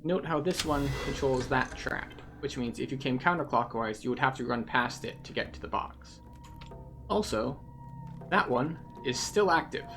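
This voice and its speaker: Male game guide, methodical and explanatory, gravelly fry in the voice, music underneath. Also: medium pitch, expressive, moderate pace. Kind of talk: guided video game walkthrough commentary.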